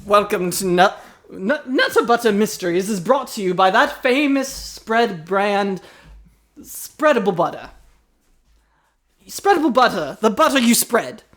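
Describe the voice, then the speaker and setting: A woman's voice: medium pitch, lively intonation, a little slow. A woman narrating an improvised comedy mystery as a mock radio announcer and podcast host.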